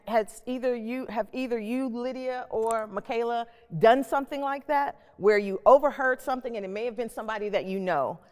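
A middle-aged woman hosting and moderating a panel discussion. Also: fairly high pitch. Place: stage in a reverberant hall. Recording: echoey hall with long reverb.

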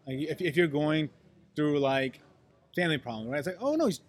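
Guest, man, halting but animated talker with a slightly raised pitch. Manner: halting, repeats words, animated. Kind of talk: casual chat.

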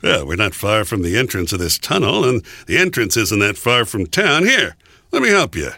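Man voicing a breezy, cocky trickster coyote, lively pitch. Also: moderate pace, creaky, no echo, exaggerated voice. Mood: curious, unfazed. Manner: breezy, flippant, casual slang. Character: cocky, upbeat trickster coyote, unfazed by danger.

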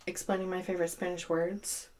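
A young woman co-hosting a casual podcast chat, animated and quick to laugh. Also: lowish voice. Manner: laughs often, animated storytelling. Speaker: podcast co-host.

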